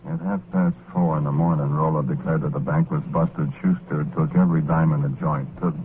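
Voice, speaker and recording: An actor playing a wistful working-class gambler ghost, narrating a 1940s radio drama in folksy, slangy talk. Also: male voice, rumbling low, fairly even intonation, fairly quick, a little hiss or hum. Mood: matter-of-fact. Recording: tinny old radio recording, loud music behind.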